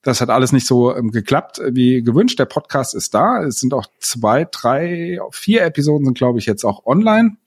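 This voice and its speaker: A man talking in a relaxed podcast chat, loose and conversational, with many fillers and tag questions. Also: mid-range voice, moderate pace, hardly any pauses, husky. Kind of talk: casual chat.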